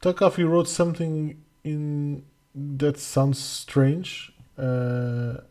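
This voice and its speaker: A middle-aged man from Portugal, hosting a casual livestream Q&A as community manager, halting with many fillers and restarts. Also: fairly high pitch, little pitch movement, dark tone. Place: home room, indoors. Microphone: headset.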